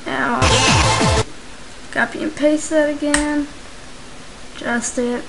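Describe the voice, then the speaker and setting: A speaker giving a casual, unscripted step-by-step software tutorial, recorded at home. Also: sits high, fairly even intonation, slow, vocal fry, loud music behind the voice, rough recording. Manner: informal, unscripted step-by-step narration. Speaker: tutorial maker. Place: indoors, home computer screen recording. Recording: hissy, clicky home microphone recording.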